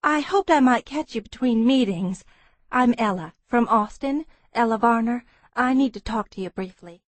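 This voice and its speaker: A woman voicing a bold, persistent younger woman with crisp, businesslike speech in an audiobook. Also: on the high side, moderate pace, no echo, natural voice. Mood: crisp, polite. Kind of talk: audiobook character dialogue.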